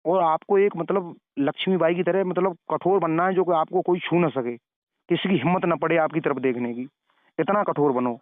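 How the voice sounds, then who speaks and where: A man's voice: on the high side, moderate pace, no echo. A man preacher giving elder-brotherly, moralizing advice in a phone conversation, repeating his points for emphasis. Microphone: phone call. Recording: narrow tinny phone call recording.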